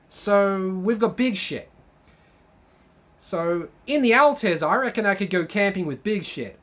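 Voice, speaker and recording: A man's voice: sits high, a bit bright. Rambling guy, swears freely. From Australia. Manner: rambling, loose, swears freely, reads comments aloud. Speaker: channel host. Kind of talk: casual live-stream chat.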